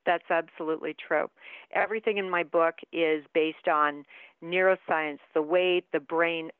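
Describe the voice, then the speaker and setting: A woman's voice: deep voice, fairly even intonation, moderate pace, clean tone, no echo. Woman author on a tinny phone line. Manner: earnest, emphatic storytelling. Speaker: author, interview guest. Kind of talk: interview over the phone. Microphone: telephone.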